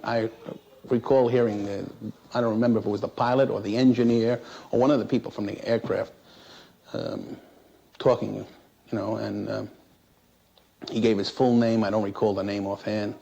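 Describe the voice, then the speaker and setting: A man who works as an air traffic controller recounts his experience in an interview in a halting, plain-spoken, matter-of-fact way. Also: lowish voice, little pitch movement, creaky, warm tone, muffled recording. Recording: noisy 1960s interview recording.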